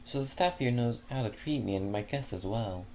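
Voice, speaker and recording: A man reading a fanfic aloud, voicing a gracious, generous female pony with a higher voice. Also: normal speed, a little mellow, a little hiss or hum, natural voice, rough recording. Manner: gracious, polite.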